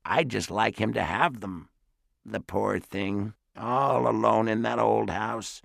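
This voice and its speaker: A man voicing a frail, wistful elderly great-aunt who talks softly and slowly in an audiobook. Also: fairly low pitch, gravelly, no room sound, studio quality, heavily acted. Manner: soft, slow and reminiscing. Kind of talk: audiobook character voice.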